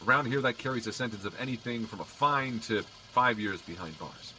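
A man's voice: mid-range voice, a little fast, a little hiss or hum, low-quality recording. An actor playing a wry male police officer who teases and jokes dryly. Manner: dry joking, lively. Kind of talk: audio drama acting.

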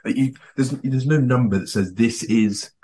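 A young man from Scotland co-hosting a podcast in casual, rambling chat that often trails off quietly. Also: mid-range voice, normal speed, husky. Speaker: podcast co-host.

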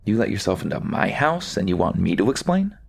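An actor playing an evasive, smooth-talking man with secrets in a thriller audio drama, wry and placating. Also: on the low side, very animated, fairly quick, full character voice. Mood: uneasy, placating.

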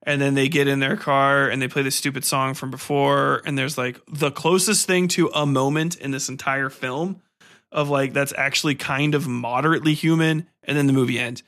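A man's voice: mid-range voice, steady tone, normal speed, fairly bright tone. A man hosting a podcast in a casual, rambling film-review rant, profane, exasperated and mocking.

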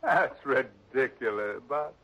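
An actor playing a blunt, self-assured business partner in a 1960s radio drama. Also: male voice, medium pitch, animated, slow pace, a bit bright. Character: blunt middle-aged businessman, dead man's partner. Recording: dull lo-fi old radio broadcast.

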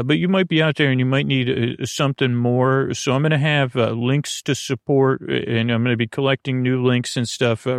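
A man from the United States hosting a bedtime podcast in a creaky voice, meandering through halting, repetitive tangents. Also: medium pitch, no echo, studio quality. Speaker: podcast host. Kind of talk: rambling bedtime sleep podcast monologue.

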